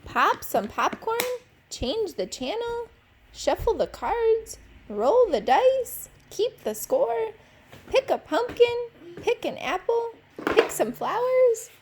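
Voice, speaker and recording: A woman's voice: extremely high pitch, very animated, slow pace, background noise, pitched above the performer's own voice, full character voice. A woman voicing a demanding, chirpy elderly aunt duck in a storybook. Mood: bossy, eager.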